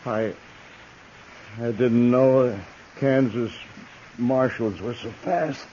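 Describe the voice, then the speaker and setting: A cynical western outlaw, laconic and unbothered. His American voice is dark and mellow with a very slow drawl, in old radio drama style, slightly dulled by the recording. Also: mid-range voice, steady tone. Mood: dying, weak. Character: cynical, laconic western killer, coolly resigned to capture. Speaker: radio actor. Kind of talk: 1950s radio western acting. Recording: muffled, noisy 1950s radio recording.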